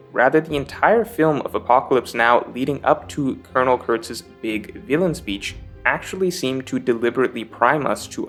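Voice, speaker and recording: A man delivering scripted, analytical video essay narration with measured, emphatic phrasing. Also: mid-range voice, a bit bright. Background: guitar music.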